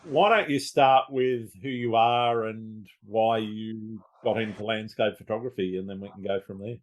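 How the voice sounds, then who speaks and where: Low-voiced Australian podcast host. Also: male voice, fairly even intonation, muffled recording. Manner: measured, with hesitant fillers. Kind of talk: scripted intro then interview questions.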